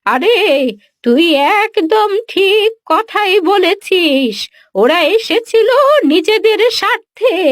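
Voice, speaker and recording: A woman's voice: extremely high pitch, no echo, clean studio sound, exaggerated voice. A woman playing a proud, betrayed old village mother in a cartoon story, scornful and reproachful. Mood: warm, approving. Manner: scornful, reproachful, slow emphatic delivery. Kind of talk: Bengali cartoon moral-story acting.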